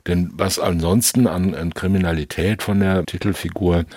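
A man with a very deep voice that is noticeably husky, recorded close and dry. He speaks as an expert guest, reasoning aloud in long, deliberate, carefully hedged explanations. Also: fairly even intonation, a little mellow, no echo. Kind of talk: unscripted analytical discussion.

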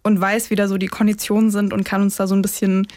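A woman's voice: medium pitch, little pitch movement, fast talker, a little mellow, dry recording, clean studio sound. A woman co-hosting a true-crime podcast in a casual, colloquial conversation. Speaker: podcast co-host.

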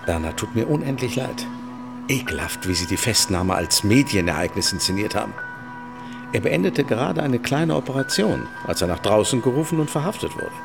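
An actor playing a grave, loyal defence lawyer, sober and earnest, in a radio drama. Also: male voice, on the low side, expressive, a little fast, creaky, over music. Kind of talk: radio play acting.

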